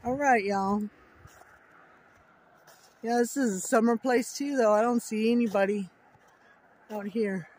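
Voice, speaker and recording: A woman's voice: fairly high pitch, steady tone, a little slow, long pauses, a little mellow. Woman vlogging on her phone by a snowy country road, chatty, lots of y'all. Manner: chatty, addresses viewers as y'all. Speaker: travel vlogger. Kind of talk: casual narrated road trip vlog. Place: outdoors by a rural road in winter. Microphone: phone camera held by the filmer.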